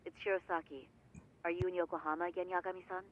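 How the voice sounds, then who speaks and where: A man's voice: high voice, little pitch movement, quick pace, phone-quality sound, a little hiss or hum, lightly acted. An actor voicing a polite, formal male defense lawyer in a video game, speaking courteously and apologetically.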